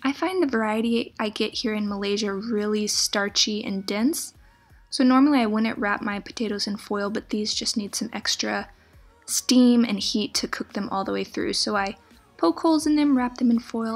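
A woman's voice: medium pitch, normal speed. A young woman hosting a cooking channel, giving a chatty, enthusiastic recipe voice-over. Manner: upbeat, chatty, enthusiastic about food. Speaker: plant-based cooking channel host. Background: soft background music.

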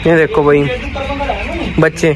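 A man's voice: fairly high pitch, monotone, slow. A young man chatting casually and repetitively for a vlog on a phone in a noisy outdoor spot. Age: young adult. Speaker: vlogger. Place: open-sided farm shed and street shopfront. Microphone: handheld phone.

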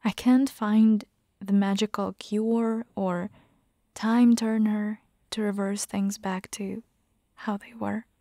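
A woman voicing a caring, gently bossy vampire hunter girlfriend in a soft, breathy, half-whispered ASMR roleplay, close to the microphone. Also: mid-range voice, level tone, takes it slowly, dry recording. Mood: apologetic, tender.

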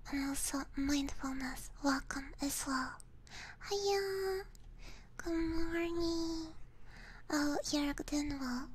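A woman hosts a casual ASMR stream in a soft, mostly whispered voice. Her pitch is high and she talks slowly, close to the microphone. There is clear background noise behind her. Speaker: live stream host. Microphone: close binaural ASMR microphone.

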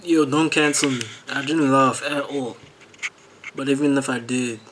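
A young man from South Africa reacts casually to a video at an unhurried pace. His pitch is average, and there is faint noise behind him on a close home mic. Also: fairly even intonation. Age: young adult.

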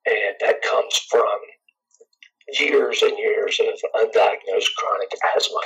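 A middle-aged man with a scratchy, raspy voice, chatting casually and rambling as an interview guest. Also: fairly low pitch, takes it slowly, fairly bright tone, dull audio. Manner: laid-back, rambling, chuckles often. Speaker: guitarist guest.

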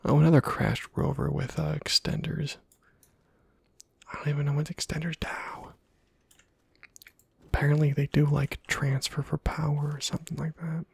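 A man giving casual, playful ASMR game commentary in a hushed, breathy half-whisper, muttering to himself close to the microphone. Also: fairly high pitch, little pitch movement, moderate pace, long pauses, no room sound. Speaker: gaming video host.

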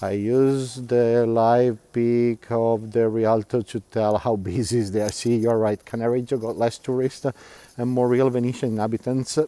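A man's voice: mid-range voice, level tone, a little slow, dark tone, no room sound. A middle-aged man gives a live walking tour outdoors, reading viewer comments aloud and chatting with frequent fillers and laughs. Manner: chatty, laughs often, many fillers and restarts. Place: outdoors, quiet canal-side streets.

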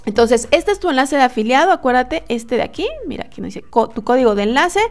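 A woman's voice: high voice, fast talker, soft background music. A woman in her thirties talking casually to a webcam, walking viewers through websites with lots of fillers and restarts. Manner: chatty, animated, many fillers and restarts. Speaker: online money-making vlogger. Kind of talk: casual screen-share tutorial. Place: indoors at a desk, webcam.

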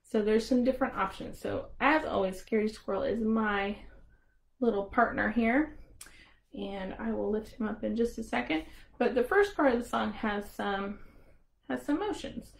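High-pitched woman leading children's storytime. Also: moderate pace, creaky. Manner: simple, encouraging talk addressed to toddlers. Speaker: children's librarian hosting storytime. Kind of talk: interactive children's storytime with songs and rhymes.

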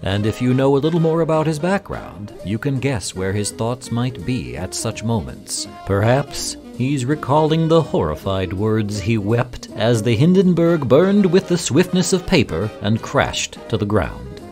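Lively male reader over prominent music. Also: medium pitch. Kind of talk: scripted reading of a feature article.

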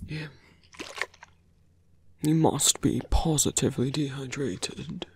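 A man playing a seductive, teasing fantasy villain in an ASMR roleplay, breathy and often whispering, with a languid, smugly menacing, taunting manner. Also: fairly high pitch, darkish tone, dry recording, heavily acted. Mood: amused, reassuring.

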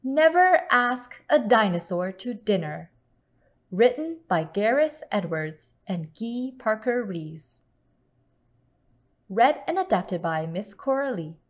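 A woman storyteller reading a picture book aloud to children in a deliberate, expressive manner. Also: mid-range voice.

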